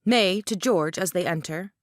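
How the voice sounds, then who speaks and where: A woman's voice: fairly low pitch, moderate pace, no room sound, studio quality. A woman reading stage directions as scripted narration for a play.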